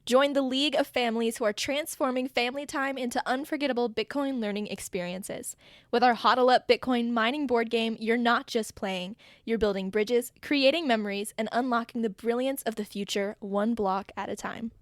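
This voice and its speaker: A woman's voice: a little high, normal speed, no room sound, clean studio sound. Woman reading a sponsor spot. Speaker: sponsor announcer. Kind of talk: scripted ad read.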